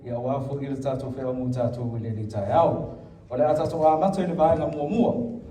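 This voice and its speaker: A man's voice: mid-range voice, fast talker, dark tone. A man with a Samoan accent preaching a sermon in church, switching between Samoan and English and calling the congregation to answer amen. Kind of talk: bilingual sermon. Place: church hall. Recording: echoey church from a distance.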